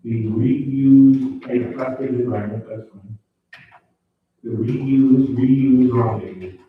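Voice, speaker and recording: A man whose first language is French gives his councilor report at a town council meeting, pausing and repeating himself as he searches for words. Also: medium pitch, fairly even intonation, slight background noise. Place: council chamber. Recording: echoey chamber, distant phone-like mic.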